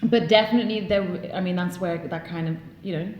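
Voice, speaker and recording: Woman, 20s, lowish voice, chatty, echoey room. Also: fast, muffled recording, slight background noise. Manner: chatty, many fillers and restarts, laughs easily. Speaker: podcast host. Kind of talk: casual conversation. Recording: roomy echo.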